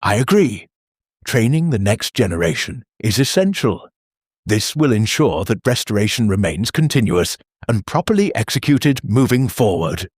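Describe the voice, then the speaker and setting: An actor playing an earnest, formal church meeting chairman who speaks in a measured, bureaucratic deadpan. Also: fairly low pitch, slightly rough, no room sound, clean studio sound. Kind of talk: audio drama acting.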